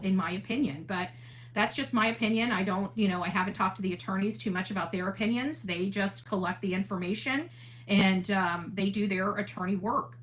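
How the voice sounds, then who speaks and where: A woman's voice: mid-range voice, fairly bright tone, rough recording. A woman hosting an online livestream, talking casually and earnestly in a monologue, often repeating and rephrasing her points for emphasis. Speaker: online channel host. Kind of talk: livestream talk, casual monologue with replies to chat.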